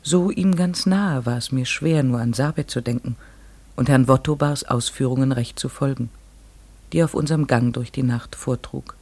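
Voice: medium pitch, moderate pace, darkish tone, natural voice. An actor playing an earnest, devoted woman in a radio play, formal and literary in speech and narration. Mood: reflective.

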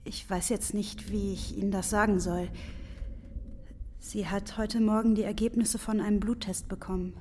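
Casting: actress as reserved woman breaking bad news, soft dark timbre, hesitant, faint ambience behind. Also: mid-range voice, fairly even intonation, normal speed, slight background noise, lightly acted. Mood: hesitant, sorry. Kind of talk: radio play acting.

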